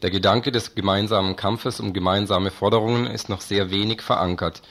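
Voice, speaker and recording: A man's voice: on the low side, steady tone, brisk, fairly bright tone. A man reading written statements aloud for a radio report.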